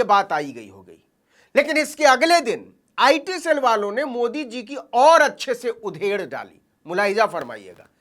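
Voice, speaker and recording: A woman's voice: mid-range voice, fairly bright tone. A woman commentator delivering satirical political commentary. Her voice sits in a middle register, but it swoops up and down a great deal. She sounds mocking and theatrical. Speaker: news commentator.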